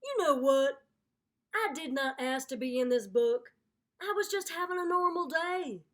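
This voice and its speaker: A woman voicing a grumpy, exasperated male alligator in a picture book, loud, indignant and emphatic. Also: high-pitched, expressive, a little slow. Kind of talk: picture book read-aloud.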